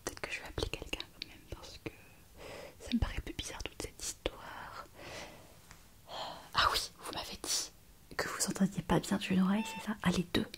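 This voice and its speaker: A woman playing a kind, eager young hospital intern in an ASMR roleplay, speaking in a soft, breathy whisper with an attentive, hesitant manner. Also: medium pitch, fairly even intonation, moderate pace, close to an everyday voice. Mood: attentive, soothing. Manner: attentive, hesitant, gently reassuring. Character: kind, eager, chatty young female hospital intern on her first night shift.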